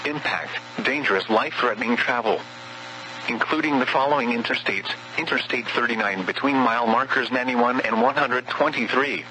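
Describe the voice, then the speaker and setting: A synthetic man's voice reading scripted weather warning bulletins over radio in a measured, impersonal way. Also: medium pitch, background noise. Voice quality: synthetic text-to-speech voice. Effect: weather radio broadcast. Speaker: automated weather radio announcer.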